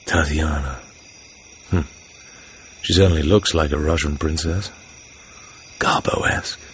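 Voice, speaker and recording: An actor playing a suave, wry British secret agent with an English accent in a radio drama. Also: male voice, rumbling low, steady tone, a little slow, slight background noise. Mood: wry, flirtatious. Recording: muffled radio drama with music.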